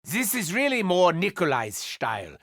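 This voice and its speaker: A man's voice: sits high, huge pitch swings, normal speed, vocal fry, a bit bright, dry recording, clean studio sound, exaggerated voice. An actor playing a manic, unhinged German male doctor in a video game, with a German accent and a theatrical, mock-wounded manner. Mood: gleeful.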